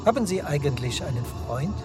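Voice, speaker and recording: An actor playing a curious, flirtatious night taxi driver in casual, probing radio drama dialogue. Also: male voice, fairly high pitch, very animated, brisk, over loud music. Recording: noisy car interior, traffic hum. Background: engine and road noise.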